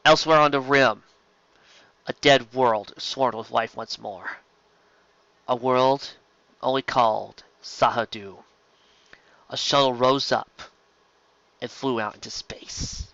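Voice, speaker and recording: A man narrates a story in a bright, forceful voice. He reads scripted prose dramatically. The recording is very dry and a little dull. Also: mid-range voice, fairly even intonation, takes it slowly, pauses often, no room sound. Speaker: reader of fan fiction.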